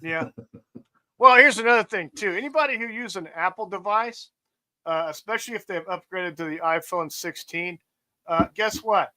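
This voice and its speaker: Profane high-voiced ranting man. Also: normal speed, bright, forceful tone, low-quality recording. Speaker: podcast host. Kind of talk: casual political chat.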